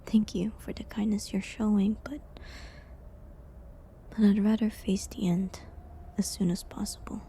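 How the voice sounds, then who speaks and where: A woman playing a gentle, resigned prisoner accused of witchcraft in an intimate medieval audio drama, with a breathy, half-whispered, hesitant and pleading delivery. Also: mid-range voice, a little hiss or hum. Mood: sad, resigned. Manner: slow, hesitant, pleading, slightly formal old-fashioned wording.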